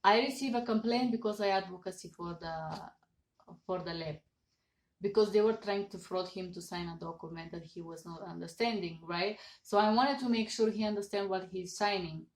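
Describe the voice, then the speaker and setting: Bulgarian-accented woman in her thirties, mid-pitched, earnest webcam advice talk, roomy echo. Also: moderate pace. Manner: earnest, emphatic, gestures with hands, stresses numbered points. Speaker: interpreter giving business advice. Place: home office with certificates on wall. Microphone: webcam at desk. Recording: echoey room.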